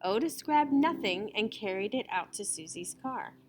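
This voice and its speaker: A woman reading a children's story aloud as an expressive storyteller. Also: mid-range voice, normal speed, slight background noise.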